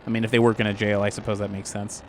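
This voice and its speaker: A man's voice: lowish voice, monotone, rapid-fire, soft background music. A man playing a tabletop game, talking through plans and repeating himself as he thinks. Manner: talks through plans, stammers and repeats words. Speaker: player. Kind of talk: casual tabletop play.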